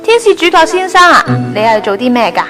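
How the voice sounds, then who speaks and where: A woman's voice: very high voice, sing-song, brisk. A woman playing an earnest, sentimental young trainee angel in a Hong Kong Cantonese radio drama. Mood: shy, curious. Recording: background music under the voice.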